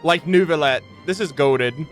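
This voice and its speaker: An enthusiastic man reacting casually for a stream chat. His voice is a little higher than average, and he talks in an expressive, slangy way with light music in the background. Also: measured pace. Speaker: streamer reacting to game voice lines.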